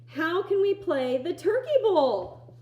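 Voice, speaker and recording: A woman voicing an eager, excitable young boy from a storybook, with emphatic exclamations, in an echoey room. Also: very high voice, takes it slowly, pitched above the performer's own voice, exaggerated voice. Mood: dismayed.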